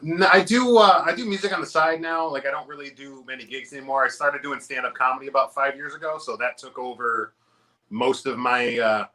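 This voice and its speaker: A man's voice: mid-range voice, brisk, pushed and bright. A man being interviewed as a guest, chatting casually and upbeat with easy, self-deprecating laughs. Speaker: guest musician and comedian.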